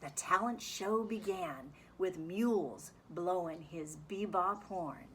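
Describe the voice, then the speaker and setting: A woman speaking as a music teacher, reading a children's story and explaining it in an animated, deliberate, instructive way. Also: fairly low pitch, slight background noise. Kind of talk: expressive children's read-aloud and lesson.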